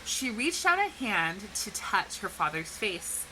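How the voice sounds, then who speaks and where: Animated, bright-voiced woman teaching children. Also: mid-range voice, measured pace, a little hiss or hum, low-quality recording. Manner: animated, clearly explaining, posing questions to young listeners. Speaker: teacher reading a picture book to children. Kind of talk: educational read-aloud for young children.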